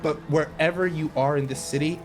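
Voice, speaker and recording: Man, game narrator, improvised scene description, halting informal phrasing, music behind. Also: fairly high pitch, fairly even intonation.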